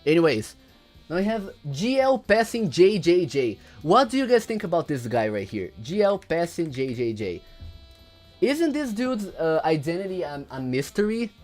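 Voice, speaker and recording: Young male streamer, Portuguese first language, slightly high voice, rambling casual talk, faint music. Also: a little mellow. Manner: rambling, repeats words, reads chat aloud. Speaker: livestreamer. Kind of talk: casual livestream commentary.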